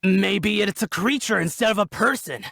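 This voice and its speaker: A husky-voiced bandit survivor, played by an actor, blunt and combative. The lines come indignant and wary in a dramatic table read, recorded close and dry. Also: medium pitch, normal speed, fairly bright tone, dry recording. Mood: defensive, exasperated.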